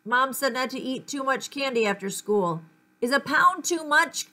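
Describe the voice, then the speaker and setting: A woman's voice: sits high, animated, moderate pace, sharp tone, voice pushed up. Woman voicing a fretful, silly schoolkid. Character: fretful schoolchildren's private, silly everyday worries.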